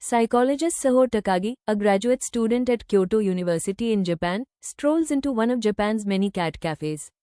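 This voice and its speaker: A woman reading scripted practice passages aloud in a measured, carefully phrased way. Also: mid-range voice, expressive, dark tone, clear, resonant tone, no echo, studio quality. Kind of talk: scripted read-aloud narration.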